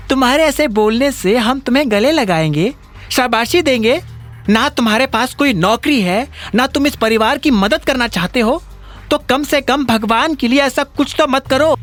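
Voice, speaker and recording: A man's voice: extremely high pitch, normal speed, a bit bright, soft background music, voice pushed up. A man voicing an angry, scornful, domineering middle-aged mother in an audio drama, scolding with sarcastic questions. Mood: furious scolding. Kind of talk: Hindi audio drama acting.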